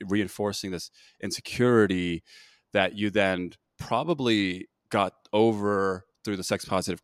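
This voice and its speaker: Male host, very low voice, loose rambling talk, dry close sound. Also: no room sound. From United States. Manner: rambling, many restarts and fillers. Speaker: podcast host. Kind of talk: casual interview chat.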